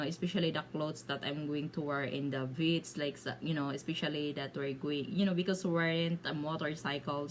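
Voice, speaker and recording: A home-made vlog where a Filipino woman with a Bisaya accent chats to her followers in halting English, her voice on the low side over faint background noise. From Philippines. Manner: halting, searching for words in limited English. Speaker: video blogger. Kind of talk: casual storytelling to viewers.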